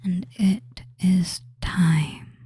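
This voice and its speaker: A person whispering a soothing hypnosis roleplay in ASMR style as a doting, controlling fantasy witch, with drawn-out phrases and pauses. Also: mid-range voice, fairly even intonation, dark tone. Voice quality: breathy, mostly unvoiced whisper.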